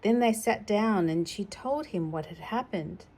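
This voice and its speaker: A woman's voice: mid-range voice, expressive, a little slow, darkish tone. A woman reading a picture book aloud to a young child, expressively, with chatty asides. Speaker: storytime reader.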